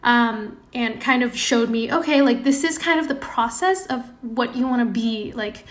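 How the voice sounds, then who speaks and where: Higher-voiced woman, casual podcast guest. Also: normal speed. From Indonesia. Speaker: podcast guest, product designer. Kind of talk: casual interview chat.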